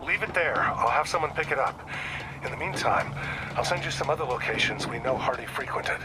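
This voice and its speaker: An actor playing a plainspoken, weary older American police detective close to retirement in a video game. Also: male voice, mid-range voice, brisk, noisy background, lightly acted. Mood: matter-of-fact. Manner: plainspoken, matter-of-fact.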